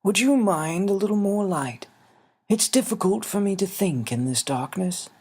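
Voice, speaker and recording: Man voicing a high-pitched, solemn little old fairy man. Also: expressive, normal speed, darkish tone, slightly rough, full character voice. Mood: polite, warm. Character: tiny old winged fairy man, solemn, gentle, weary.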